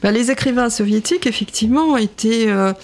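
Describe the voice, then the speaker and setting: A woman's voice: on the high side, brisk, darkish tone. Hesitant woman in her sixties. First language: Russian. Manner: hesitant, many "euh" fillers and restarts. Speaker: guest, translator and literature professor. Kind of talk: radio interview, thoughtful explanation.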